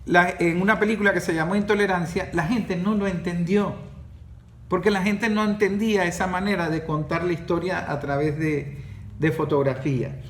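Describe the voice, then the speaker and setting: A man's voice: high voice, moderate pace, roomy sound. A middle-aged man with a Venezuelan accent, talking animatedly in a casual podcast and often restarting his sentences. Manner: animated storyteller with frequent restarts. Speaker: podcast host and course facilitator.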